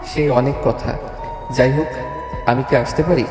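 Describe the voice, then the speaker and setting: A man's voice: medium pitch, unhurried, close to an everyday voice. A man playing a cool, stubborn, protective young husband in an audio story drama, speaking firmly and tersely. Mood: guarded. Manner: flat, firm, terse. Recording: echoey reverberant hall, music underneath.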